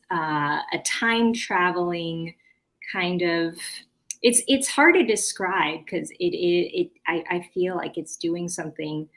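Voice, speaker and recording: American female author as interview guest, lowish pitch, casual, hesitant, self-interrupting delivery. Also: fairly bright tone. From California, United States.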